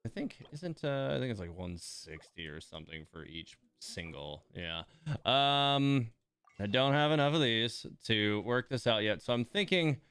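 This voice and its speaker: A man streaming a video game, thinking aloud in a relaxed, informal way as he gives casual live commentary. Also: medium pitch, a little slow, clear, resonant tone.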